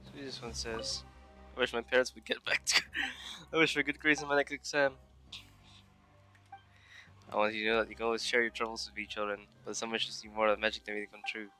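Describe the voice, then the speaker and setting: A man's voice: lowish voice, little pitch movement, brisk, sharp tone. A man doing casual playthrough commentary on a video game and reading its text aloud, with faint game music behind. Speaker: game streamer.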